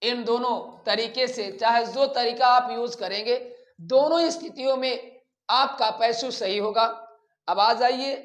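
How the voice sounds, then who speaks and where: A man with an Indian accent teaching a grammar lesson in a didactic way, repeating phrases deliberately. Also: very high-pitched, a bit bright. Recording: echoey room sound.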